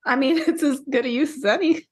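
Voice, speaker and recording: A woman co-hosting a book podcast, talking casually in a measured, explanatory way. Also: high voice. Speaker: podcast co-host discussing books.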